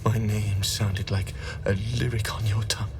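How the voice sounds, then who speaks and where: A man's voice: lowish voice, little pitch movement, moderate pace, natural voice. A man voicing a tormented vampire prisoner. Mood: accusing. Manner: whispered accusations rising to screaming curses. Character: young male vampire spawn prisoner, tormented and bitter. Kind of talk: video game voice acting. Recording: distant game audio with background noise.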